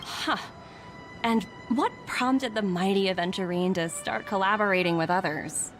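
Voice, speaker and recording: A woman's voice: mid-range voice, moderate pace, music in the background, lightly acted. An actress playing a proud, guarded young businesswoman in a video game, curt and easily irritated. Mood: surprised, wary. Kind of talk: dramatic game dialogue.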